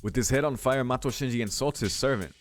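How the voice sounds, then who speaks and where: A man's voice: mid-range voice, fast talker. A man hosting a let's play, reading visual novel narration aloud and adding casual commentary. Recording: music playing behind the voice. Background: game music.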